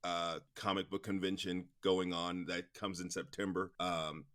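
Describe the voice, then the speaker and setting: A man's voice: deep voice, flat delivery, unhurried, a bit bright. A man in his forties speaking casually as an interview guest, chatty and informal, thinking aloud as he goes. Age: 40s. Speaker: interview guest, production company president.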